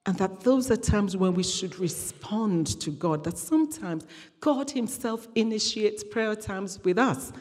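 A woman's voice: medium pitch, expressive, measured pace, darkish tone. A woman guest preacher giving a conversational, storytelling sermon, earnest and fond of repeating phrases and voicing dialogue. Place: large hall or church, preaching to congregation. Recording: reverberant hall sound.

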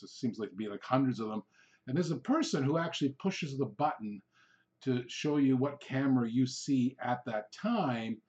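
A man's voice: mid-range voice, darkish tone. Man coaching on a dull, lo-fi podcast. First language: Hungarian. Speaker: podcast host, real estate sales coach. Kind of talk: informal solo podcast coaching.

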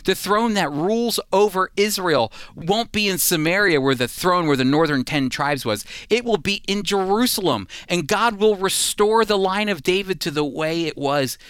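A man's voice: high voice, lively intonation, moderate pace, hardly any pauses, fairly bright tone, clear, resonant tone, no room sound, studio quality. A man teaching a Bible sermon on a radio program, emphatic, repeating phrases and dropping his voice for weight. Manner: emphatic preaching with repeated phrases and dramatic drops.

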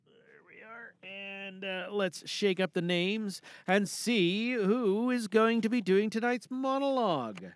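Male podcast host, informal and playful showman, crisp, high-set voice, close dry sound. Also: slow, no room sound. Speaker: host and voice performer.

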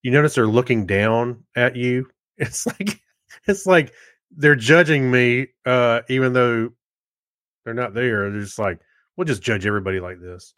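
A man's voice: mid-range voice, measured pace, fairly bright tone, dry recording, clean studio sound. A man co-hosting a podcast in casual, good-humored chat. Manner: laughs readily, good-humored reactions. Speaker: podcast co-host.

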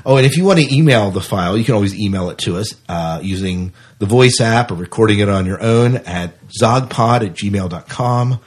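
A man's voice: fairly low pitch, a little fast, hardly any pauses, husky. A man co-hosting a podcast in casual, informal chat, with an enthusiastic manner. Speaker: podcast co-host.

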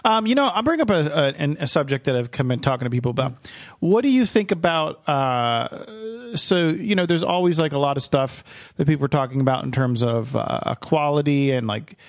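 A man hosting a podcast in casual chat. He talks haltingly, full of fillers and restarts. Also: fairly high pitch, animated, no room sound, studio quality. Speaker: podcast host.

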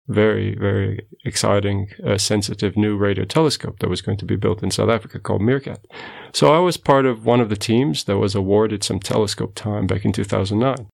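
A middle-aged man from Portugal with a Portuguese accent, giving measured, explanatory answers as a guest scientist in an interview. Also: fairly low pitch, creaky. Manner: measured, explanatory, self-correcting.

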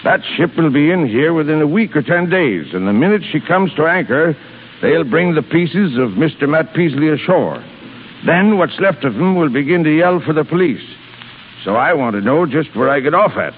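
Scratchy narrow-band 1930s radio, reverberant, blustering old seafaring boss, gruff, impatient, bossy. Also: male voice, a little high, lively intonation, normal speed. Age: 60s. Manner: gruff, bossy, salty nautical talk. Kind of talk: 1930s radio comedy-drama acting.

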